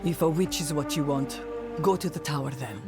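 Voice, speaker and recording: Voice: mid-range voice, fairly quick, music in the background, lightly acted. A video game voice playing a weary swamp villager who talks in a dry, resigned, matter-of-fact way. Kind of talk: video game cutscene acting. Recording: game soundtrack behind voice.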